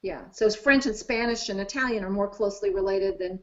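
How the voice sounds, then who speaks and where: A woman's voice: mid-range voice, fairly quick. Enthusiastic woman explaining languages. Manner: enthusiastic, thinks aloud with restarts. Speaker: language expert guest. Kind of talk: casual discussion. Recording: dull lo-fi live stream audio.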